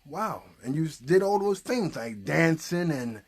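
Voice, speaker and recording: A man's voice: fairly high pitch, lively intonation, a little slow, husky. A man hosting a puppet show, chatting casually and encouragingly and prompting with questions. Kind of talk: casual, unscripted chat.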